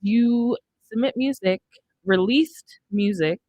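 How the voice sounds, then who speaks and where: A woman's voice: mid-range voice, takes it slowly, darkish tone. A young American woman hosting a casual podcast chat from a studio, close on the microphone. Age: young adult. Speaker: radio show host. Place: indoor studio. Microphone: close studio microphone on boom arm.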